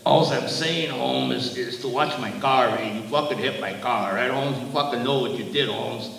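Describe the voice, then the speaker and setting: A man's voice: medium pitch, moderate pace, creaky, fairly bright tone. Casting: male narrator doing a defiant, needling street guy with slangy tags, in a roomy hall at a distance from the mic.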